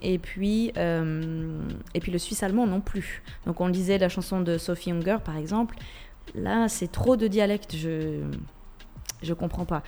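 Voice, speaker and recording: A woman's voice: fairly low pitch, unhurried, mellow. A woman from Switzerland with a Swiss French accent, a radio guest who talks with many hesitations and restarts. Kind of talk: radio interview.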